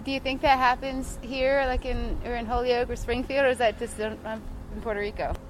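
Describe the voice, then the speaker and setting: A woman with a high voice asks curious, light follow-up questions. She is outdoors on a street with faint traffic behind her. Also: little pitch movement, brisk, poor audio. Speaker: interviewer. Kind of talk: street interview.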